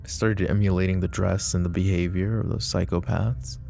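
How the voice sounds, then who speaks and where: A man playing a protective, possessive mafia boss in an intimate roleplay ASMR, speaking deliberately and broodingly straight to the listener. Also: deep voice, fairly even intonation, creaky, warm tone, faint music behind. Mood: grim, regretful.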